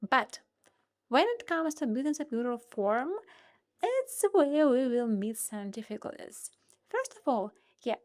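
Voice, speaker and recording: Lively high-voiced teacher with Russian accent. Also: female voice. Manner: lively, playful, talks directly to viewers. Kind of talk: online grammar lesson.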